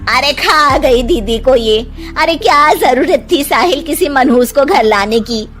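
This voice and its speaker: A spiteful, gossiping older aunt, played by a woman speaks high and slow. She is sarcastic and accusing, in cartoon family melodrama style. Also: animated, a bit bright, soft background music.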